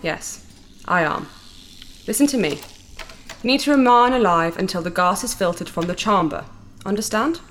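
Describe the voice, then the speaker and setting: A woman's voice: mid-range voice, expressive, a bit bright, a little hiss or hum, close to an everyday voice. Woman as a regal, formal insectoid alien princess. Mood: stern. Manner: formal, deliberate, regal diction with odd "ah" sounds. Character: massive female insectoid alien princess, imperious, blunt, earnest. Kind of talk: sci-fi audio drama acting.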